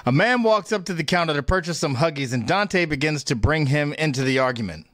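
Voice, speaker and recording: A man's voice: on the high side, lively intonation, a little fast, fairly bright tone, no echo, studio quality. A man reading scripted stage directions as narrator of a comic table read. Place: indoor room on a video call. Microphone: close microphone on a video call.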